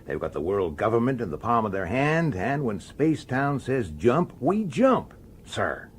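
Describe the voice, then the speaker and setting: A man's voice: mid-range voice, big pitch swings, a little slow, slight background noise. An actor playing a sardonic, grumbling middle-aged male detective who tells his case in a radio drama. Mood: bitter, exasperated. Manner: grumbling, sarcastic, hesitant with superiors. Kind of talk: radio drama acting with first-person narration.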